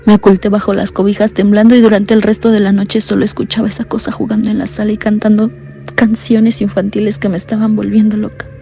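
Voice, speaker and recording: A woman narrating a horror radio drama in a hushed, fearful first-person telling. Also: mid-range voice, level tone, fast talker, creaky.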